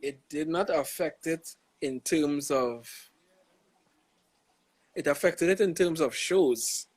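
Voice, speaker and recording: Young Trinidadian guy, husky and dry, relaxed and reflective, slangy; gospel artist guest. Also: fairly high pitch, slow, no echo. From Trinidad and Tobago. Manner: laid-back, reflective, slangy with many "you know"s. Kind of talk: casual interview over a live stream.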